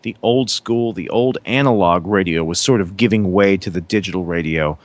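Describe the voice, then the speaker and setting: A man in his thirties with a lowish voice talks as a radio DJ guest. He rambles along in easy interview chat, full of "uh"s and restarts. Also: normal speed, dry recording. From Massachusetts. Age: 30s. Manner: rambling, many fillers and restarts.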